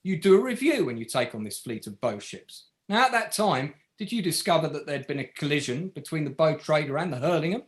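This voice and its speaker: An actor playing a relentless barrister who cross-examines with pointed, sardonic questions in a courtroom drama table read. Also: male voice, a little high, animated, a little fast, hardly any pauses, natural voice. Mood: methodical, accusing.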